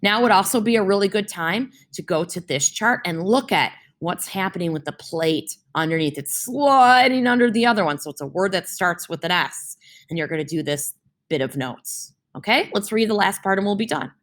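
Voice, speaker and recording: A woman's voice: mid-range voice, animated, normal speed, a bit bright. A young woman teacher reading a science lesson aloud for her class, explaining with homely comparisons and often checking in with "right?".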